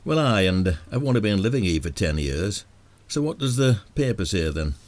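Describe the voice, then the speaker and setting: A man's voice: sits low, sing-song, moderate pace, a little mellow. A man playing a wry, sarcastic retired village detective with a Northern English accent in a radio drama. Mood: dry. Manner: dry, sarcastic.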